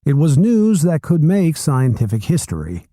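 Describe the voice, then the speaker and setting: Guy reading a book chapter aloud, warm mellow voice, really animated delivery. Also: on the high side, moderate pace, no room sound, clean studio sound. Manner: expressive storytelling delivery. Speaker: reader.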